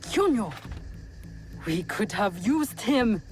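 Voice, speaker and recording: A woman's voice: medium pitch, very animated, takes it slowly, soft background music. An actress playing a blunt, sceptical female war veteran in a video game drama, with a wry, reproachful manner.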